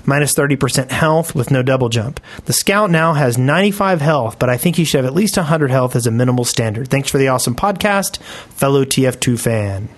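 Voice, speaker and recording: A man's voice: mid-range voice, lively intonation, brisk, hardly any pauses. A man co-hosting a gaming podcast, chatting casually with a wry, teasing manner and reading out listener emails. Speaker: podcast co-host.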